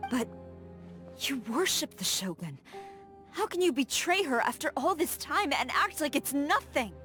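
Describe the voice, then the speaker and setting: A woman's voice: high-pitched, very animated, a little slow, a bit bright, exaggerated voice. An actress playing a principled, stern young female general, speaking formally and earnestly in a game cutscene. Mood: hurt, accusing. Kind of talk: dramatic game cutscene acting. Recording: dramatic music behind the voice. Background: game soundtrack music.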